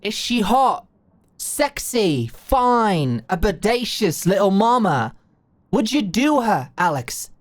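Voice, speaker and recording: A woman's voice: medium pitch, big pitch swings, takes it slowly, dry recording. A woman playing a blunt, shrewd older British executive in an audio roleplay, talking in a brash, slangy, commanding way. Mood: commanding, provocative. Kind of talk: scripted audio roleplay monologue.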